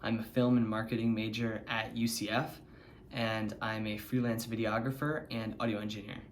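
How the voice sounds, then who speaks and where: A man's voice: fairly low pitch, flat delivery, normal speed, slight background noise. A man in his twenties, a band member, speaking in an earnest, heartfelt way in an interview. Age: 20s. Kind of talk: documentary interview.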